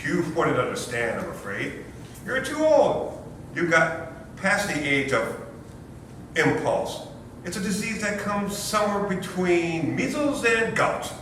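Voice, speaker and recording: A man playing a flustered, fawning young nephew in a comic stage reading, stammering and blurting as he flatters his uncle. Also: sits high, slow, exaggerated voice. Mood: cornered, blustering. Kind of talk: staged readers theater comedy. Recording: echoey hall, far-off mic, faint noise.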